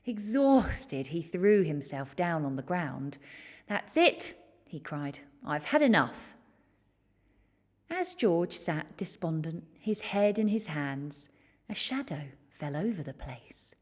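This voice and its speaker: Female children's storyteller, lowish and slightly husky, expressive, taking her time over picture-book narration. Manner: expressive, measured.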